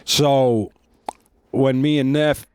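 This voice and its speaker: A man in his thirties with a loud, deep voice, speaking bluntly and casually as an interview guest. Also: fairly even intonation, extremely slow, clean tone. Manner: blunt and frank, swears casually. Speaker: comedy club co-owner, guest.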